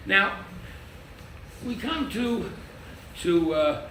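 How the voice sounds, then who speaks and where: An older man teaching a lively interactive Torah class as a rabbi, quizzing his audience and joking. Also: high-pitched, drawn-out words. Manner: animated, quizzes the audience, jokes. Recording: echoey room from far off with noise.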